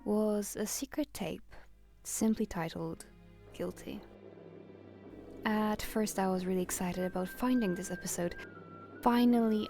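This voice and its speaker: A woman narrating a scripted video essay in an earnest, reflective way with measured phrasing. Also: medium pitch, vocal fry.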